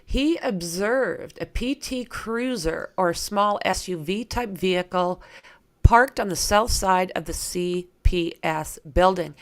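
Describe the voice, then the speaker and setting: A woman with a creaky voice hosting a true crime livestream, reading aloud and chatting informally with viewers as she thinks aloud. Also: lowish voice. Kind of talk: reading documents aloud with casual asides to viewers.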